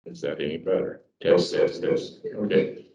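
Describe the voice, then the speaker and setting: A man with a very deep voice introduces a speaker in a plain, matter-of-fact way. The sound is dull and lo-fi, and he is heard from far off in an echoey room. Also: fairly even intonation, moderate pace, dark tone, a little hiss or hum. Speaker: club member introducing speakers. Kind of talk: reading an introduction, then fixing the mic.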